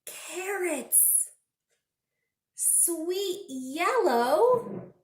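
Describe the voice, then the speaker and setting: A woman's voice: very high-pitched, lively intonation, extremely slow, a little hiss or hum. A woman children's librarian reading aloud expressively to small children, prompting them with simple questions. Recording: roomy, echoing indoor recording.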